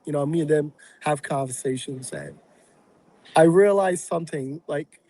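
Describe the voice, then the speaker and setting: Halting reflective man from Barbados. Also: a little high, warm tone. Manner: reflective, halting with many fillers. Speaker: real estate investor and guest. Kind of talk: casual podcast interview.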